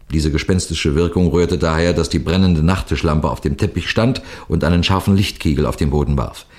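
A man playing a seasoned, composed police detective who narrates a 1960s radio crime drama in a measured, precise, formal way. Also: sits low, darkish tone. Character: seasoned male police detective telling his cases, composed, authoritative.

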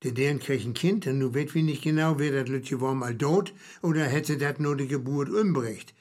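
An actor playing a wry, knowing storyteller in radio play banter, husky, with a Low German accent and a dry, explanatory manner. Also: male voice, moderate pace, a little mellow, lightly acted. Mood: dry, grave.

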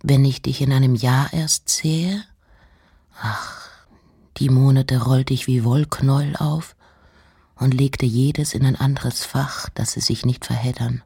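An actress playing an intense, eccentric nineteenth-century woman poet, reciting in a literary radio play with deliberate, theatrical phrasing and pauses. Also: a bass voice, fairly even intonation, gravelly, warm tone, breathy edge, full character voice. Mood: hushed, yearning. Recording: music behind the voice.

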